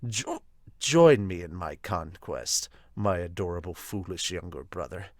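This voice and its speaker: A man voicing a grandiose, condescending villainous elder brother, slow and menacing, in an anime fan dub style. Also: sits low, animated, dry recording, clean studio sound, pitched below the performer's own voice.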